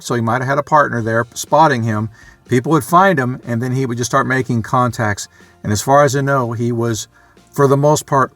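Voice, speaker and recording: Male vlogger, middle-pitched, unscripted and matter-of-fact, thinking out loud in a dry recording. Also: no room sound. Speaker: vlogger, amateur radio hobbyist. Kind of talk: casual unscripted vlog talk.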